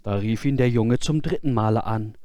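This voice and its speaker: A man narrating a fairy tale from a script in an expressive, deliberate storybook style. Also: on the low side, no room sound, studio quality.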